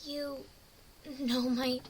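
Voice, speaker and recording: A man's voice: very high-pitched, flat delivery, drawn-out words, pitched above the performer's own voice, heavily acted, rough recording. A man voicing a young female unicorn pony, plaintive and hesitant, in an amateur dramatic story reading. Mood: timid, questioning.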